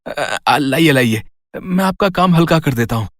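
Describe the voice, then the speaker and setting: A man's voice: on the high side, expressive, normal speed, a bit bright, no echo, studio quality. A man playing a sly, gleeful petty thief in a moral story cartoon, muttering and coaxing with mock piety. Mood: gleeful wheedling.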